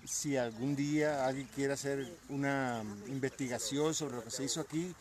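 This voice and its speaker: An older man from Colombia answering questions outdoors in a park, speaking slowly with pauses and fillers. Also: medium pitch, steady tone. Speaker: event photographer. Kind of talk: casual outdoor interview. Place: outdoor park with trees and lawn. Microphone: phone camera held close. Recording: crowd chatter behind.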